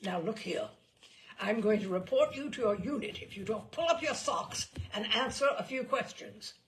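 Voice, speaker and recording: A woman's voice: medium pitch, expressive, moderate pace, fairly bright tone, slight background noise, voice pushed up. Woman as an irritated, menacing army major. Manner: stern, threatening. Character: irritated, menacing male home guard major. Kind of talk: dramatised storybook reading.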